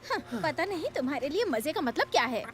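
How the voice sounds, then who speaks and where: High-pitched, feisty young cartoon heroine. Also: female voice, big pitch swings, brisk, noisy background. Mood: teasing. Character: sharp, feisty, knowledgeable young female companion. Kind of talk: Hindi cartoon dubbing. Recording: music behind the voice.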